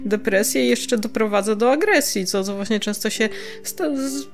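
A woman's voice: a little high, moderate pace, faint music behind. A woman chatting casually and reminiscing as a podcast co-host, giggly and a little hesitant, letting sentences trail off.

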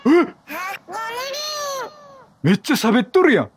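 A man doing excitable, chatty game commentary, reacting aloud and bantering with the characters. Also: extremely high pitch, takes it slowly, noisy background. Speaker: gaming streamer, let's play host.

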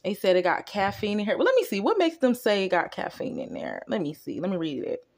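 Woman, casual food vlog, colloquial and chatty, mid-pitched voice, phone camera in a home kitchen. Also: wildly swooping pitch, brisk, darkish tone. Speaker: vlogger. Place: home kitchen counter, indoors.

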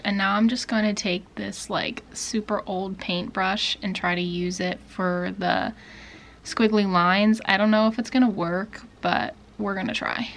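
A woman in her twenties chatting casually to camera in a small bedroom, indecisive and drifting into soft whispers. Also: mid-range voice, a little hiss or hum. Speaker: beauty vlogger. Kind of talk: casual chat.

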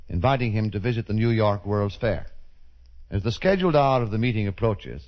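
Male radio announcer, 1930s style, medium pitch, formal polished reading, dull lo-fi recording.

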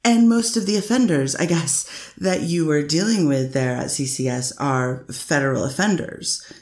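Low-voiced woman lawyer doing a steady cross-exam read by a woman, echoey room. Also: normal speed. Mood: steady questioning. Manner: methodical questioning, many "is that fair to say". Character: careful woman lawyer cross-examining a witness. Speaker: defense attorney. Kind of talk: courtroom transcript reading.

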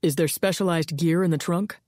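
A woman voicing a steady, clipped male hostage rescuer in an audiobook. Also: lowish voice, brisk, no echo, clean studio sound, natural voice. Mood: firm. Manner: steady, clipped and matter-of-fact.

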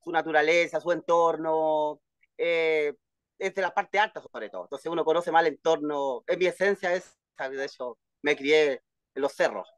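A man's voice: on the high side, moderate pace, clear, resonant tone, dry recording. Chilean guy telling stories on a video call, repeats himself, dry sound. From Valparaíso, Chile. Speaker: guest, poet. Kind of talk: casual storytelling in an interview.

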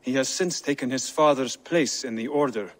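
A man playing a grave assassin advisor in a video game, speaking in a measured, formal way. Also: mid-range voice, close to an everyday voice. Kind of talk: video game cutscene acting. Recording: long hall-like reverb.

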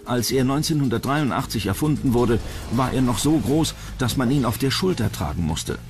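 A man's voice: medium pitch, animated, moderate pace, slight background noise. A man reading scripted narration for a TV countdown documentary. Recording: music behind the voice. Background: background music.

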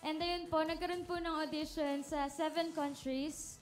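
A woman's voice: sits high, fairly even intonation, moderate pace. A young woman with a Filipino accent answering a TV interview politely and earnestly. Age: young adult. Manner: polite, earnest, laughs easily. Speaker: pop group member guest. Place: TV studio set. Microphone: handheld.

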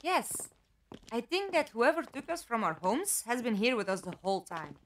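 A logical, dry-witted woman with lost memories played by an actress speaks in formal phrases with a lot of pitch movement. It is fan audio drama, with clear noise on the recording. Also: animated, moderate pace, fairly bright tone. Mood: reflective, uneasy. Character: composed, logical, dry-witted female amnesiac captive.

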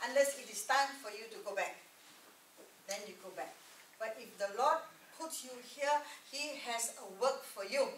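A woman's voice: a little high, normal speed, fairly bright tone, slight background noise. A middle-aged woman preaching a sermon, repeating key words and calling for "Amen?" from the room, recorded from a distant camera. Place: church meeting room with a projector screen. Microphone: distant camera mic. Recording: echoey room, far from the mic.

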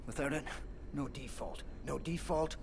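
An actor playing an animated, slangy, eccentric hacker sidekick in a video game. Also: male voice, on the high side, normal speed, creaky, noisy background. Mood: wry. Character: excitable, foul-mouthed, eccentric hacker sidekick man.

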